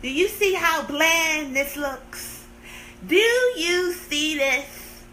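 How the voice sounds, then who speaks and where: A woman's voice: high-pitched, expressive, extremely slow, pushed and bright, a little hiss or hum, low-quality recording. A woman in her thirties chatting on a live stream, playful and sarcastic, teasing her viewers with theatrical complaints. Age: 30s. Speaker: livestream host.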